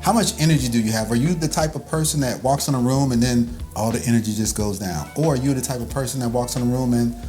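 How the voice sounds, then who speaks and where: A man's voice: medium pitch, fast talker, hardly any pauses, warm tone, faint music behind. Laid-back father teaching with a teasing edge. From Birmingham, Alabama. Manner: teasing, laughs often. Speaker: father and video host. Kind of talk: casual teaching chat.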